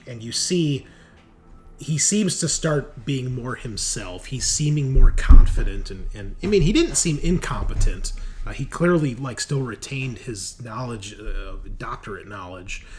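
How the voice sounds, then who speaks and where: A man narrating an improvised tabletop game as game master, in a halting, conversational way. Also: on the low side. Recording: clearly noisy background.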